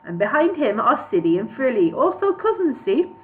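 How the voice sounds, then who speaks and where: A man's voice: very high-pitched, big pitch swings, moderate pace. A man voicing a cheerful, rambling storybook dwarf with a put-on Welsh accent. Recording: tinny, phone-like narrow-band audio.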